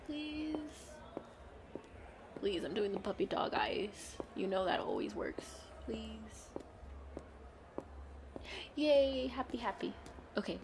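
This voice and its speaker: Woman acting as playful girlfriend, roleplay audio, bubbly, expressive, very lively intonation, background ambience. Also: medium pitch, background noise. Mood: playful pleading, then chatty, upbeat. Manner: bubbly, expressive, frequent giggles and exclamations. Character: playful, excitable, pleading girlfriend out costume shopping.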